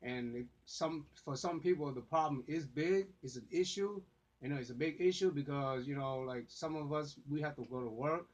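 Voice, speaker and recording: A man's voice: on the high side, normal speed. A man with Vietnamese as his first language, vlogging casually to his phone camera, rambling with many fillers and restarts. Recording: dull lo-fi phone audio.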